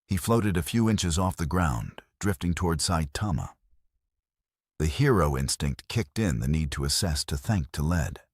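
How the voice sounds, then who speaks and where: A man's voice: rumbling low, lively intonation, moderate pace, slightly rough, no room sound, clean studio sound. A man giving a solemn, scripted dramatic narration of a fiction story. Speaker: audio novel narrator.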